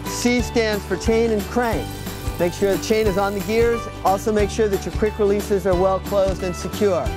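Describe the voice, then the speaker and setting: Female instructor, medium pitch, instructional delivery over a clear music bed. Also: fairly even intonation, moderate pace. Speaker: bike safety instructor. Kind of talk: instructional presentation.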